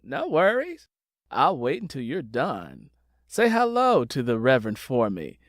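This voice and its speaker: A man's voice: mid-range voice, huge pitch swings, measured pace, no room sound, clean studio sound. A man voicing a nosy, good-natured woman neighbor in an audiobook, with a breezy, chatty manner.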